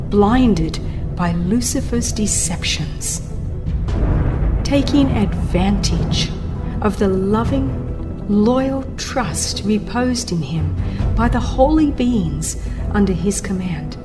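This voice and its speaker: A woman narrating a religious book as a dramatized audiobook, with solemn, theatrical and deliberate phrasing. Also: a little high. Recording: music under the voice. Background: background music.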